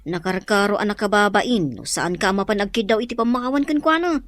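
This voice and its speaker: A woman's voice: mid-range voice, lively intonation, fairly quick, lightly acted. A woman with a Filipino accent playing a frank, reproving close friend in a radio drama.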